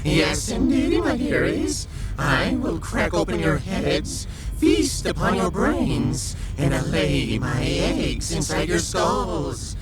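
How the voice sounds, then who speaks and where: Male actor as monstrous alien brood mother: swooping, unhurried delivery, gleefully threatening with sugary pet names, audio drama. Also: on the high side, big pitch swings. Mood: gleeful menace. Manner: theatrical, cooing endearments amid threats. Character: menacing giant alien caterpillar brood mother, gleefully sweet-talking. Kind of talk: sci-fi audio drama acting. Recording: loud music behind.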